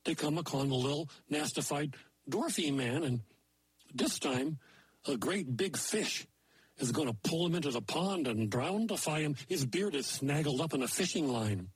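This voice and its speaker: A man's voice: fairly high pitch, expressive, normal speed, husky, no room sound, low-quality recording. A man voicing a folksy male moose who tells a fairy tale in playful, mangled grammar with made-up words. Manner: playful, invents silly word forms. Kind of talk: oral folktale telling.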